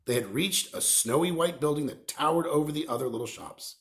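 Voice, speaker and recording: A man reading a children's novel aloud as an expressive storyteller. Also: on the high side, fairly quick. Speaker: reader, storyteller.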